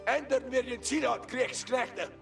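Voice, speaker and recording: An actor voicing a proud, dutiful medieval knight commander, calling out orders as unit lines in a historical strategy game. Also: male voice, extremely high pitch, a little slow, gravelly, a bit bright, husky, soft background music, full character voice. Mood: battle-ready.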